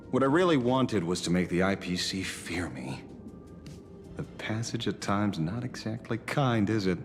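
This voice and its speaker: An actor playing a weary, proud older investigator in a video game, deliberate and sardonic, with vengeance in his words. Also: deep voice, exaggerated voice. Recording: long reverb, music behind.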